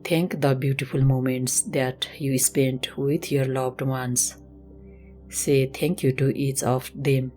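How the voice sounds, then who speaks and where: A woman instructor leading a slow guided meditation in a gentle, measured, instructional manner. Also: rumbling low, flat delivery. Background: music.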